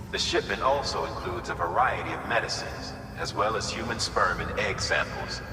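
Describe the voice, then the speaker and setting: A man playing a stern, formal director giving a measured, authoritative scripted briefing in a video game. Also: fairly low pitch, natural voice. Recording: echoey hall, clear background noise.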